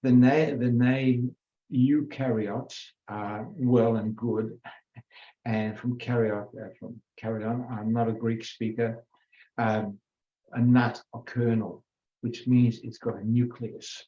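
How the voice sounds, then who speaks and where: An older man giving an informal biology lecture, halting with many fillers and self-corrections. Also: mid-range voice, steady tone, mellow. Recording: echoey room, dull lo-fi sound.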